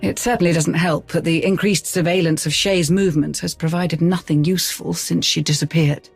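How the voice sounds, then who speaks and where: An actor playing a polite, anxious advisor to a Sith lord in a video game, formal and deferential, with a husky voice. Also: male voice, on the high side, fairly even intonation, brisk, no room sound, soft background music. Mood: concerned, tactful. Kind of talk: video game cutscene acting.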